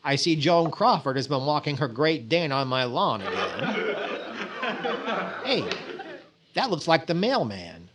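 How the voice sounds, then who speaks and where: A man's voice: fairly high pitch, sing-song, unhurried, long pauses, heavy background noise. A man playing a vain, naive, fussy comedian in 1940s radio comedy style, exclaiming and stammering. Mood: cheerfully vain. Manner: exclaiming, hesitant stammers, comic timing. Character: vain, naive, fussy comedian, easily flustered, boasting of possessions.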